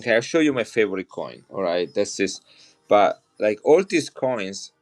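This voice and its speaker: A middle-aged man with an Italian accent and a fairly low voice talks to his live-stream viewers in a chatty, enthusiastic way. Faint music plays behind him. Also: lively intonation, moderate pace. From Italy. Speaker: coin seller on a live stream. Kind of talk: casual live-selling chat.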